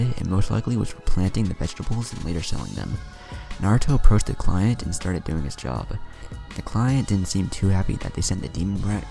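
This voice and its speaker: Deep, gravelly male story narrator. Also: warm tone. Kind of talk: fan fiction reading. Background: music.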